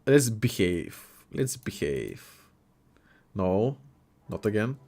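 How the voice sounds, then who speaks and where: A man's voice: lowish voice, sing-song, slow, a little mellow. A young man hosting a casual livestream, chatty and jokey as he reacts to viewers' messages. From Bulgaria. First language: Bulgarian. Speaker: livestream host. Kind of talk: casual livestream chat, reading chat aloud.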